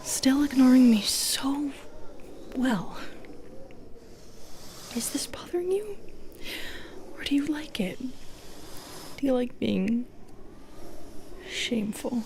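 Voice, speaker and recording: A sly female monster, a Medusa, acted by a woman, whispers very slowly in an intimate ASMR roleplay. The voice is fairly high and breathy with some creak, drawing out each taunt as if toying with prey. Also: lively intonation, a little mellow, a little hiss or hum, heavily acted. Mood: menacing, teasing. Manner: taunting, coaxing, drawn-out delivery. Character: sly, teasing, predatory female Medusa with long nails.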